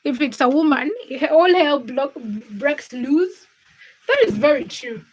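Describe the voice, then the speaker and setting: A young woman from Uganda and Kenya hosts a casual livestream with a high, light voice. She chats and teases as she reads out viewers' comments, greeting people by name and pulling them into the talk. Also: lively intonation, unhurried, a little mellow, low-quality recording. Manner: chatty, teasing, addresses viewers by name. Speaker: livestream host.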